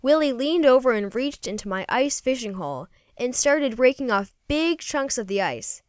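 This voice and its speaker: A woman reads a children's story aloud with lively, swooping intonation. Her voice is fairly high and smooth, and she tells the tale in a folksy, expressive first-person way. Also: normal speed, dry recording, clean studio sound. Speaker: storybook reader.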